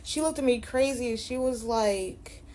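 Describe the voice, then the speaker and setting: A woman's voice: a little high, a little slow, dark tone, a little hiss or hum. A woman of nineteen casually telling stories, acting out the dialogue as she goes. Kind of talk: casual storytelling.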